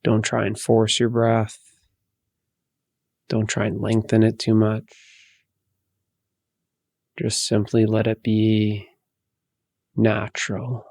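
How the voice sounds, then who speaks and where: A man leading a guided meditation in a soothing, hushed manner, giving gentle instructions with long pauses. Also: fairly low pitch, completely flat delivery, vocal fry, no room sound.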